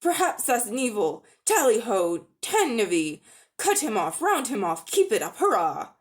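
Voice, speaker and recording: A woman voicing eager talking animals in a storybook, shouting excitedly as if on a chase. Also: a little high, moderate pace.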